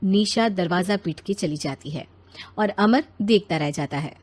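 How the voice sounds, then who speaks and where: A woman's voice: fairly low pitch, measured pace, a little mellow. A woman narrating a scripted children's moral story.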